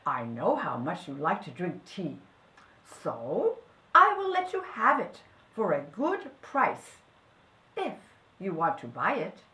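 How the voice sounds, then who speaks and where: A woman's voice: lowish voice, huge pitch swings, takes it slowly, sharp tone, reverberant, voice pushed down, heavily acted, poor audio. A woman voicing a friendly male shopkeeper in a storybook, polite and chatty as a salesman. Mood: genial selling. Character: friendly male shopkeeper selling an old tea kettle. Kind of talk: storybook character voice.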